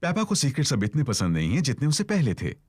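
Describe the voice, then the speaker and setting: A woman narrating a children's cartoon in an expressive storytelling style. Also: extremely low pitch, fast talker, slightly rough, dry recording, studio quality, heavily acted.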